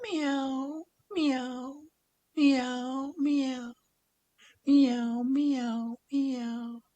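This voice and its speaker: A man's voice: very high-pitched, flat delivery, extremely slow, mellow, pitched above the performer's own voice, heavily acted. A man voicing little storybook kittens who plead with their mother and mew in a childlike sing-song. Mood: plaintive mewing.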